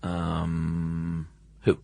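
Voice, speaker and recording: A man's voice: low voice, completely flat delivery, extremely slow, gravelly, a little mellow, no room sound. A man co-hosting a podcast in an informal, conversational way. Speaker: podcast co-host. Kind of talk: casual podcast chat.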